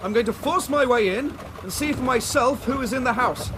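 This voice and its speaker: An actor playing an agitated, determined gentleman in a radio drama, resolute and urgent. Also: extremely high pitch, fairly quick. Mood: determined, tense. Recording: noisy outdoor vehicle sound effects.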